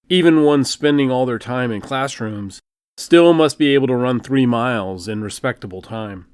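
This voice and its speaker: A man's voice: mid-range voice, no echo. A man narrating a first-person novel as an audiobook, in a dry, wry storytelling manner.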